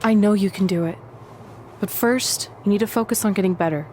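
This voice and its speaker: Woman acting a down-to-earth, mid-pitched female wrestler. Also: a little fast, a little mellow, slight background noise, natural voice. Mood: concerned, supportive. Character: supportive, down-to-earth young female pro wrestler. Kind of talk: conversational video game cutscene acting.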